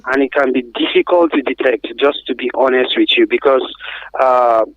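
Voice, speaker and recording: A phone-like, narrow sound carries a Nigerian man's voice at a medium pitch, very dry and free of room echo. He explains as a guest expert, stressing his points and posing questions that he answers himself. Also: fairly even intonation, normal speed. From Africa. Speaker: guest expert on survivors of trafficking. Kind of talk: radio interview, explanatory. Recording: thin, phone-like radio line.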